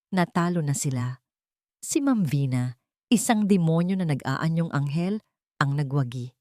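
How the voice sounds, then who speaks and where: A woman narrates dramatic fiction. Her voice sits fairly low for a woman. The sound is dry, with very little room on it. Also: mellow, no echo. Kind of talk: scripted dramatic story narration.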